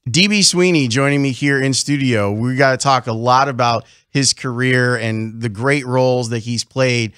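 A man's voice: medium pitch, fairly even intonation, moderate pace, hardly any pauses, fairly bright tone, clean tone, dry recording. Middle-aged man, sports radio host, curious interviewer, dry studio sound. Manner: curious, leading questions. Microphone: studio mic with headphones.

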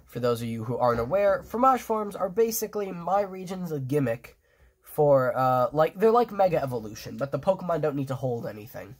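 A man's voice: fairly high pitch, big pitch swings, a little fast, slightly rough. A man with a stuffed, nasal nose casually talks through his drawings at a table, rambling with many restarts and fillers. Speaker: fan artist presenting his drawings. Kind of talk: casual show-and-tell commentary.

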